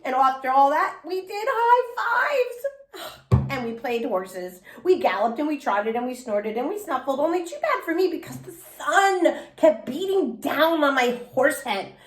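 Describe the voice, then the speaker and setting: A woman's voice: high-pitched, very animated, measured pace. A woman reading a children's story aloud expressively, as a teacher does for young listeners. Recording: echoey room.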